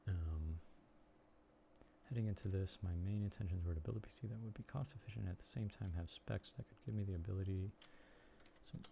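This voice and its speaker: Young man, Chicago accent, low mellow voice, rambling live-stream chat. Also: fairly even intonation, creaky, a little hiss or hum. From Chicago area, United States. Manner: rambling, halting, lots of ums and restarts. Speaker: streamer and blogger.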